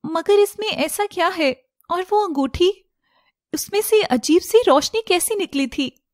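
Woman acting a young woman, high voice, curious, bold, cartoon fairy-tale heroine. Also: expressive, a little slow, darkish tone, no echo, clean studio sound. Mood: curious, suspicious.

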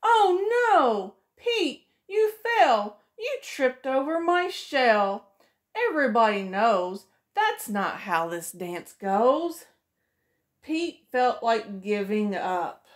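A woman's voice: a little high, sing-song, drawn-out words. A middle-aged woman, a preschool teacher, reading a picture book aloud to children in a sing-song way, voicing each character, recorded close up in a small room.

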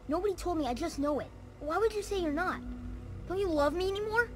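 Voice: extremely high pitch, animated, fast talker, slight background noise. A performer voicing a hurt, pleading young android boy in a video game, plaintive and emotional. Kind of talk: dramatic video game dialogue.

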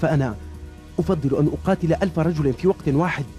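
A man plays a frustrated young martial artist in an action cartoon. His fairly high voice races along hot-headedly over faint music. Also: fast talker, warm tone, natural voice. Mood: exasperated. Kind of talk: animated action series dub.

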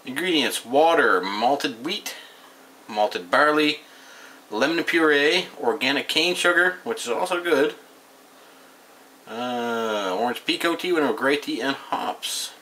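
Man in his thirties, bright voice, offhand rambling, camera mic in a small room, faint hiss. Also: medium pitch, expressive, slow pace. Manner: rambling, offhand, reads label aloud. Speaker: beer reviewer. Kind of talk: casual home video review. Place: small room at home, indoors.

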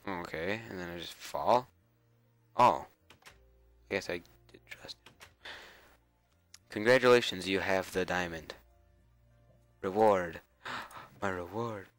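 A young man with a cold and a raspy, scratchy voice, mumbling casual commentary to himself while playing a game. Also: deep voice, takes it slowly, long pauses, a bit bright, faint music behind. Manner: mumbling, self-talk with frequent fillers and repeats. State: hoarse with a cold. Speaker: gameplay video creator.

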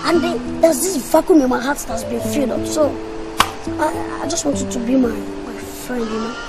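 A performer playing a cheeky young man with a pitched-up voice who bickers, boasts and pleads. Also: expressive, fast talker, over loud music. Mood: coaxing. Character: cheeky young man chasing his brother's girlfriend.

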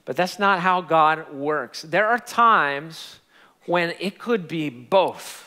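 A man in his forties preaching a sermon on a large church stage into a headset mic, animated and emphatic. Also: a little high, takes it slowly. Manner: animated, gesturing preacher with rising emphatic peaks. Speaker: pastor. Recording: long hall reverb.

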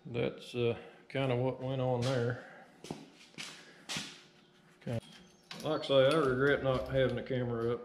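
A man's voice: medium pitch, flat delivery, unhurried, pauses often, a little hiss or hum. A middle-aged man talking casually while working in a metal workshop, rambling and self-deprecating. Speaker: excavation business owner.

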